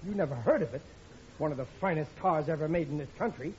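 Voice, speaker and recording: A man's voice: fairly high pitch, animated, fast talker, darkish tone, natural voice. A man playing a blustering, stubborn, dim working-class American husband and dad in a 1950s radio sitcom. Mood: proud, excited. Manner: blustering, emphatic. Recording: muffled, noisy old radio recording.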